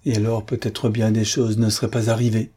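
A man voicing a tormented, half-mad old villain in a dramatic audiobook, halting and pleading, with pauses and repetitions. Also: fairly low pitch, level tone, warm tone, breathy edge. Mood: pained. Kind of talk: dramatic audiobook character reading.

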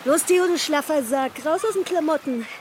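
An actress playing a sharp-tongued, mocking woman on a diving holiday in a radio drama. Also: high-pitched, normal speed, slight background noise, natural voice. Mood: scornful. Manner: taunting, scornful.

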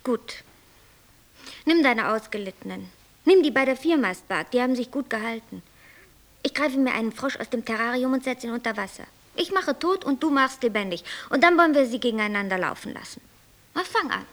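Aloof, high-voiced creator deity. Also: female voice, animated, a little fast, a bit bright. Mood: commanding. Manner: didactic, matter-of-fact, commanding. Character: aloof, bossy creator deity, coolly logical. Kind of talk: poetic radio play dialogue.

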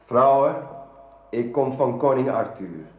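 Earnest knight in an echoing room. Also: male voice, mid-range voice, expressive, slow. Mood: courteous. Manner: formal, earnest. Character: devoted, courteous male knight, earnest and humble. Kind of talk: radio play acting. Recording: roomy, dull old radio drama recording.

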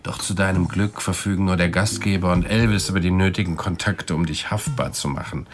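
Actor as a chummy, low-voiced debt collector. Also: male voice, brisk, lightly acted. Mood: matter-of-fact. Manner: casual, wry, matter-of-fact about threats. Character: chatty, cynical male debt collector, menacing yet chummy. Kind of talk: German radio drama acting.

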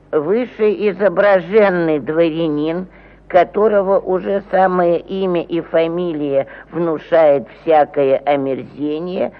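A man's voice: fairly high pitch, vocal fry. An actor playing a pompous, aggrieved provincial landowner who ponderously reads out his petition in a theatrical radio play style. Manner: pompous, ponderous, with stumbles over words. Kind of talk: theatrical mid-century radio play acting. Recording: phone-like narrow-band old 1950 radio recording.

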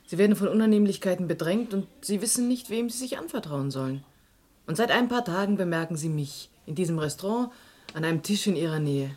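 Poised, low-voiced, probing woman. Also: expressive, a little fast, a little mellow. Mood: confiding. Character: poised, probing Italian woman journalist living in exile. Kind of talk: German radio crime drama acting.

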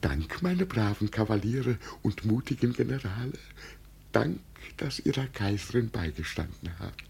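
A man's voice: lowish voice, very animated, moderate pace, vocal fry, a little mellow. An actor playing an old man who believes he is an emperor, speaking with solemn, tremulous grandeur in a vintage radio drama. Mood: anxious, relieved. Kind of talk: 1950 German radio drama acting. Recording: noisy vintage 1950 radio recording.

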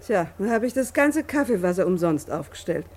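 A woman's voice: mid-range voice, big pitch swings, a little fast, a little mellow. An actress playing a tender, fussing, unsuspecting middle-aged mother in a German radio play. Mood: chatty, puzzled. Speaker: actor in a radio drama.

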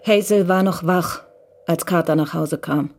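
A woman's voice: fairly low pitch, a little slow, over music. A woman reading scripted narration as the narrator of a radio drama.

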